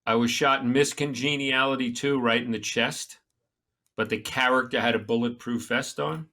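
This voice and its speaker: A man's voice: medium pitch, steady tone, moderate pace, bright, forceful tone. A man co-hosting a casual talk show, telling stories in a blunt, crude way. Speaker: podcast co-host, actor. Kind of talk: casual chat.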